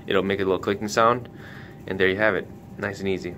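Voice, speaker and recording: A man's voice: steady tone, fast talker, sharp tone. A man hosting a casual hands-on product tutorial, giving careful step-by-step instructions. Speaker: tutorial host for a retailer. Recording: noticeable background noise with low rumble.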